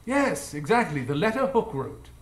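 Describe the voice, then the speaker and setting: A man's voice: a little high, very animated, moderate pace. A man playing a coolly logical, imperious detective in a live radio drama, formal and clipped. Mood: brisk, dry. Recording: roomy live stage.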